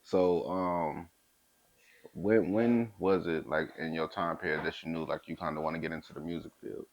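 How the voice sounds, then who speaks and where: A man's voice: rumbling low, fairly even intonation, normal speed, no echo, dull audio. A man hosting a casual interview, easygoing and loose, with many fillers. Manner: easygoing, lots of fillers and short laughs. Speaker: interviewer, host.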